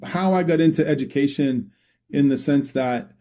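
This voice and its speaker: Mellow-voiced Massachusetts man, rambling. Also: fairly high pitch, normal speed. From Massachusetts, USA. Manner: rambling, many restarts and fillers. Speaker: guest, public health researcher. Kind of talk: conversational interview.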